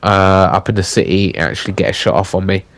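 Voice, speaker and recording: Male gaming commentator, slightly low, croaky and congested, halting voiceover full of fillers. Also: fairly even intonation, no echo. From United Kingdom. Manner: halting, with many fillers and restarts. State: croaky voice with a blocked nose from illness.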